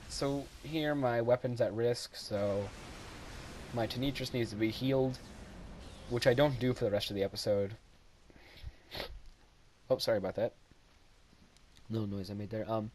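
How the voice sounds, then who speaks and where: A man's voice: fairly low pitch, a little mellow, slight background noise. Giggly young man rambling over gameplay. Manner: rambling, self-deprecating, giggly. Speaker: gameplay commentator. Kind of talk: casual game commentary voice-over.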